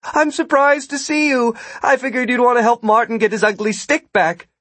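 A man's voice: very high-pitched, steady tone, normal speed, fairly bright tone, dry recording, voice pushed up. A man voicing a proud, unrepentant, defensive woman villain in an audiobook. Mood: smug. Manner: defensive, haughty.

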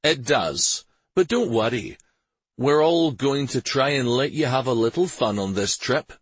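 A man's voice: medium pitch, lively intonation, measured pace, breathy edge, no echo, voice pushed up. A man voicing a commanding, kindly army major in an audiobook fantasy story. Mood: reassuring, genial. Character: commanding military major giving orders, firm but kindly man.

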